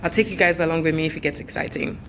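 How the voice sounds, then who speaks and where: A woman's voice: deep voice, background noise. A young Nigerian woman in her twenties, chatting casually to a handheld camera as she walks through a hotel. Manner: chatty, laughs easily, slow and unhurried. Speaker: vlogger. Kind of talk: casual vlog chat to camera. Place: hotel corridors and grounds.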